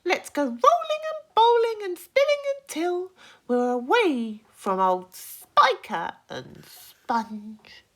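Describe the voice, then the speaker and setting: A woman voicing an excited, boastful male centipede in a children's story, calling out and chanting with relish. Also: very high-pitched, takes it slowly, pitched above the performer's own voice, heavily acted. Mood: rousing. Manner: shouting, chanting rhymes with relish.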